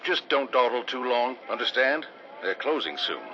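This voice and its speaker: A man's voice: medium pitch, big pitch swings, normal speed. An actor playing a dismissive, matter-of-fact father in a 1950s-style radio drama. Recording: dull, faintly noisy vintage radio recording.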